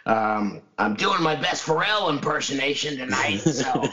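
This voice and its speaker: A man with a hoarse, scratchy voice after losing it, co-hosting a casual chat show and rambling with many restarts. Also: mid-range voice, sing-song, measured pace, over a noisy crowd. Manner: rambling, lots of fillers and restarts. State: hoarse, recovering lost voice. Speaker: podcast co-host.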